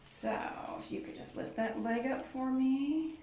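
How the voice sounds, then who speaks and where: A soft-spoken woman doing an ASMR medical roleplay as a doctor, murmuring reassuring step-by-step instructions to a patient. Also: high-pitched, a little slow, roomy sound, far from the mic, background noise. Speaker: ASMR performer playing an orthopedist. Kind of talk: ASMR medical roleplay, one-on-one personal attention. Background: clicks and handling sounds of props.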